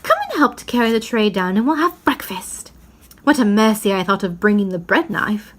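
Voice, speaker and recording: A woman's voice: mid-range voice, animated, normal speed, fairly bright tone. A woman voicing a bossy, motherly female beaver from a storybook, with a practical, scolding manner. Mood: brisk, scolding.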